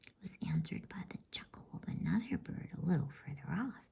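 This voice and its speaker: A woman reading softly in a breathy whisper, in a soothing, unhurried ASMR bedtime story style. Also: fairly low pitch, expressive. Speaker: host and storybook reader.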